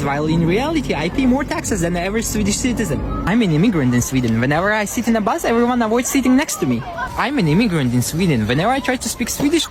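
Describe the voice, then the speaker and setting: Quick talker, lively up-and-down pitch, wry skit narration, repeated refrain. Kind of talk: first-person skit narration.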